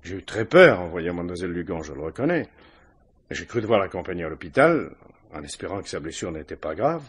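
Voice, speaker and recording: Nervous male witness for a radio mystery: low husky voice, polite, hesitant, defensive. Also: big pitch swings, fairly quick, heavily acted. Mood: flustered, defensive. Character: uneasy, pleading male witness, a family man. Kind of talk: French radio crime drama acting.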